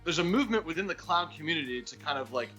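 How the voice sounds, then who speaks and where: A man's voice: on the high side, lively intonation, very fast, sharp tone, soft background music. A man co-hosting a podcast in casual chat, with hesitant, roundabout phrasing. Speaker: podcast co-host.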